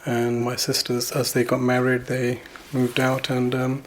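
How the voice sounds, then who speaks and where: A middle-aged man from Bangladesh, interviewed at home, talking in a relaxed, chatty way about his studies and family. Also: medium pitch, measured pace, a little hiss or hum, low-quality recording. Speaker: interviewee, planetary science student. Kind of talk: casual interview.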